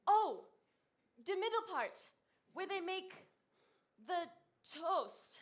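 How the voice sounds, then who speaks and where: A woman's voice: extremely high pitch, big pitch swings, slow, heavily acted. A woman playing a vain, glamorous bride who coyly poses as a Frenchwoman in a stage musical comedy. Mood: coy, fishing. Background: faint music.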